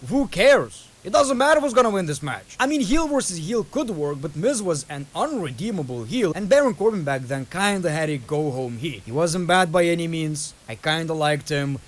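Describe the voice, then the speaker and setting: Lithuanian-accented young man, slightly high pitch, blunt casual reaction host. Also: sing-song, moderate pace, few pauses. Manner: blunt, keeps addressing viewers as "people".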